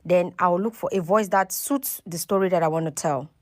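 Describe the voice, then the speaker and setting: A woman's voice: lowish voice, normal speed. A woman hosting a casual step-by-step tutorial, talking instructionally and often checking in with "okay" and "right". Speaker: tutorial host.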